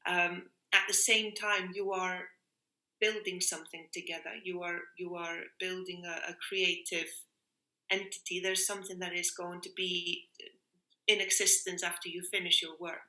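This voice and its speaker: A woman's voice: lowish voice, flat delivery, moderate pace, sharp tone. A woman speaking reflectively in an interview, earnest and searching for her words, often repeating phrases for emphasis. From Iceland. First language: Icelandic. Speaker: interviewed music workshop leader. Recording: roomy, echoing sound.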